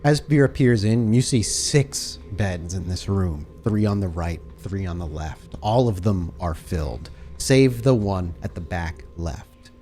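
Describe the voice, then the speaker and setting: A man narrating a tabletop horror game as storyteller, with dramatic, deliberate scene-setting. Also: sits low, lively intonation, mellow, slight background noise.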